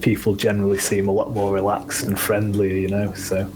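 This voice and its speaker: Low-voiced Northern English man, rambling. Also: monotone, fairly quick. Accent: Northern English (Manchester). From England. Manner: low-key, rambling, many ums and you-knows. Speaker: guest musician. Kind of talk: casual podcast conversation. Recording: audible background noise.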